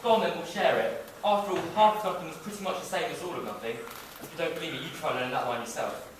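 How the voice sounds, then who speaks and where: A man's voice: on the high side, quick pace, lightly acted. A man playing a friendly, naive, penniless young traveller in a theatrical stage pantomime. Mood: wistful. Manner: theatrical, addresses the audience. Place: stage in a school hall. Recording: echoey school hall, distant mic, noisy.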